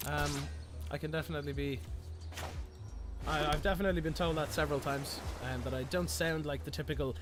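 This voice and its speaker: A man's voice: a little high, fairly even intonation, a little fast. Chatty young man with a mild Irish lilt. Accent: Irish, Dublin area, mild. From Ireland. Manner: rambling, many restarts, chatty and self-mocking. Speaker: streamer. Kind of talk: casual stream chat while playing a card game. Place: small room indoors. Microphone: headset. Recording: game music behind voice.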